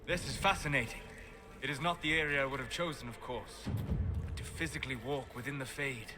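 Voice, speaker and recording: An actor playing a calm, scholarly mage in a video game, speaking with measured, precise formality. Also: male voice, mid-range voice, noisy background, natural voice. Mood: fascinated, composed. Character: calm, scholarly, wonder-struck mage expert.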